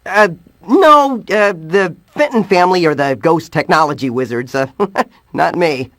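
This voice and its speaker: A man's voice: fairly high pitch, lively intonation, a little slow, a bit bright, no echo, studio quality. An actor voicing a determined, earnest teenage boy hero in a cartoon video game. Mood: casual.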